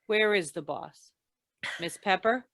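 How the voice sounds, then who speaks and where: A woman playing a wry, deadpan female insurance investigator in old-time radio noir style. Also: mid-range voice, slow, vocal fry, dry recording. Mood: dry, probing. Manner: deadpan, wisecracking.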